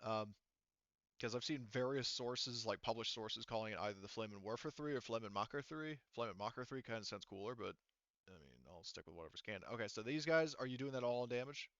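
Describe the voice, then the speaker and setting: A man's voice: medium pitch, lively intonation, a little fast, a bit bright, no room sound, highs cut off. A man running a tabletop roleplaying game as game master, explaining things casually and informally with some swearing.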